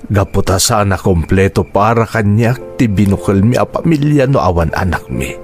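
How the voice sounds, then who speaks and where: A man's voice: fairly low pitch, expressive, moderate pace, soft background music, lightly acted. A man playing a middle-aged, remorseful father in an audio drama, patient, earnest and pleading. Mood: solemn, confessional. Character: remorseful, patient father confessing to his son. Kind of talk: Ilocano audio drama acting.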